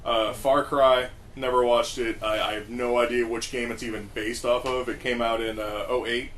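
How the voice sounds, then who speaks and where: Halting mid-pitched man in casual chat. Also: level tone, a bit bright. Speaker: podcast co-host. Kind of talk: casual chat about games and films. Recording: faint background noise.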